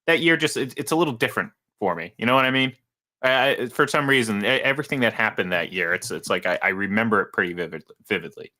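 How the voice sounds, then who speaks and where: A man's voice: fairly low pitch, lively intonation, fast talker, sharp tone. A man in his thirties co-hosting a casual sports talk show, rambling with many restarts and fillers. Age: 30s. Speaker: sports radio co-host.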